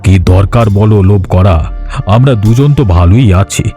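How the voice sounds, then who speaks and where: A man voicing a gentle, worried elderly village father in a cartoon, with a calm and patient delivery. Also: lowish voice, moderate pace, soft background music, natural voice. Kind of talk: cartoon voice acting.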